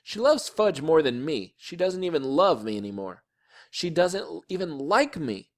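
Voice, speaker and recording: A man voices a grade-school boy in a children's story. He sounds like a put-upon older brother, indignant and plaintive, with a raised, animated voice. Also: a little high, moderate pace, voice pushed up. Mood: sulky. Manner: exasperated, plaintive. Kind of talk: audiobook character voice.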